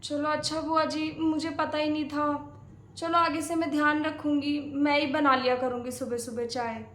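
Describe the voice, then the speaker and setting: Young daughter-in-law played by a woman, high voice, coaxing and innocent, home comedy skit, roomy echo. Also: level tone, normal speed, a bit bright. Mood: explaining, agreeable. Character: young daughter-in-law, placating, sweetly cheeky. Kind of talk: domestic comedy skit acting. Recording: echoey room.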